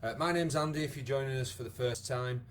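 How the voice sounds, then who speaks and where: A man with a croaky voice, leading a live online exercise class with many fillers. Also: medium pitch, a little fast, slight background noise. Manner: chatty, encouraging, lots of fillers. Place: home room with wall and bar. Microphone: device camera, moves away at times.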